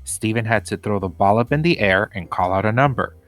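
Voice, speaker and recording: A man's voice: lowish voice, brisk, fairly bright tone, no room sound. A man reading a children's book chapter aloud as scripted storybook narration.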